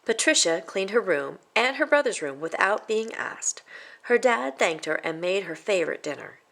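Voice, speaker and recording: A woman reading a children's picture book aloud as expressive story narration. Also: mid-range voice, moderate pace, vocal fry. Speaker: storybook reader.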